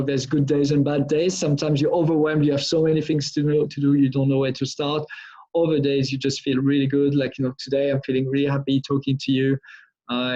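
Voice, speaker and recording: A man's voice: medium pitch, dark tone. Middle-aged man from France explaining. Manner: explanatory, restarts and repeats phrases often. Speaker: brain engineer answering pupils' questions. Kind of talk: live online Q&A with schoolchildren. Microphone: video call. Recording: dull lo-fi video call audio.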